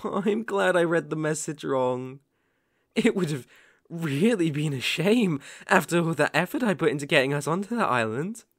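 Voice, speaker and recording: A man playing a possessive, lovesick boyfriend in an ASMR roleplay, cooing with gentle condescension and quiet menace, often hushed and breathy. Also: a little high, animated, moderate pace, no room sound, studio quality. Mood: pleased, eager. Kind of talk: ASMR roleplay, dramatic monologue.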